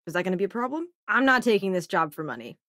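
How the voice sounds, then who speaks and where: Wry, lively young spaceship crewmate. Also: female voice, mid-range voice, fast, no room sound, clean studio sound. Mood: wry, casual. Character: sardonic young woman spaceship crewmate and researcher. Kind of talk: video game voice lines.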